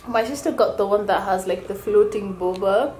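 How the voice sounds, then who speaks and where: A young woman with a slightly deep voice, chatting casually as a student vlogger into a handheld camera or phone. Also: slight background noise. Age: young adult. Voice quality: deeper voice for a woman. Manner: chatty, relaxed, drawn-out fillers. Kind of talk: casual vlog chat. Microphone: camera or phone held by herself.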